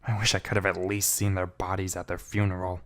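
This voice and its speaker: A man's voice: sits low, flat delivery, fast, fairly bright tone. A man giving a brooding, theatrical first-person reading of a fan fiction story. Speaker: amateur fan fiction reader.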